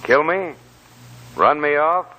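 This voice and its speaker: An actor playing a cool, bold stranger who talks directly and shrewdly in a 1940s radio drama. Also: male voice, on the high side, slow, pushed and bright, low-quality recording. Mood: calm, persuasive. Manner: direct, calculating. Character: cool, bold male stranger bargaining with prospectors. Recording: old 1940s radio broadcast.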